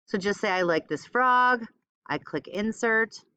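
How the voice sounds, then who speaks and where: A woman teaching a step-by-step software tutorial informally, thinking aloud as she demonstrates. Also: medium pitch, lively intonation, measured pace, clean tone, no room sound. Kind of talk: casual screen-recorded step-by-step tutorial.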